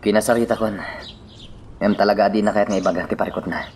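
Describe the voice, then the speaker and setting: Ex-soldier friend, played by a man: deepish, questioning, sincere, Ilocano radio drama, slight noise behind. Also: steady tone, fast talker, a little mellow, close to an everyday voice. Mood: earnest concern.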